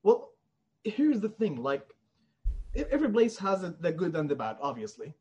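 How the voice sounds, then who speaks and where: Male indie developer, high-pitched and slightly raspy, earnest and emphatic in a conversational interview. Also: huge pitch swings, moderate pace, a little mellow, highs cut off. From Middle East.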